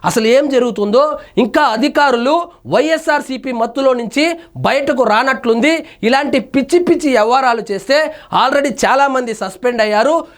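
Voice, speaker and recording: A man delivers opinionated news commentary, reading out reports and arguing emphatically with indignant rhetorical questions. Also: very high-pitched. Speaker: news analyst and presenter.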